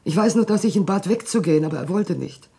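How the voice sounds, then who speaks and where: Husky, distraught, evasive wife. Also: female voice, fairly low pitch, expressive, warm tone, full character voice. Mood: distraught, shaken. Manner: hesitant, halting, agitated. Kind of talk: German radio play acting, dramatic dialogue.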